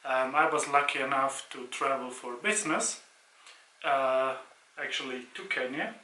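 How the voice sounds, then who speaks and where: Swiss man with a bright voice. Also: medium pitch, a little slow. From Switzerland. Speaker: vlogger co-hosting a couples' channel. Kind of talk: casual Q&A chat. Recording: echoey room.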